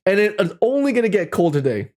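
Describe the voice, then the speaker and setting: Animated livestream host with swooping pitch. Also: quick pace. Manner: playful, exclamatory, repeats phrases for effect. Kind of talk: casual livestream chat to viewers.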